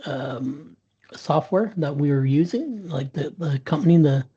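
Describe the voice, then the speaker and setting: Dark, husky man telling a story. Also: on the high side, expressive, unhurried, dull audio. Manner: frequent restarts and fillers, earnest. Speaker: interview guest, sales professional. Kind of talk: candid conversational storytelling. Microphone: video call.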